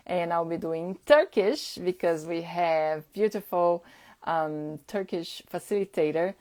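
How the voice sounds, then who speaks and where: A woman whose first language is Portuguese, hosting a casual live-stream chat at an unhurried pace, with frequent fillers. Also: on the low side, darkish tone. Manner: unhurried, chatty, many fillers. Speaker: host.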